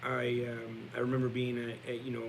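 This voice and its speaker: A man in his forties from El Salvador, telling his personal story as a podcast guest in a halting, reflective way. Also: mid-range voice, monotone, creaky, a little hiss or hum, low-quality recording. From born in El Salvador, moved to Canada at nine. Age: 40s. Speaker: podcast guest, real estate entrepreneur.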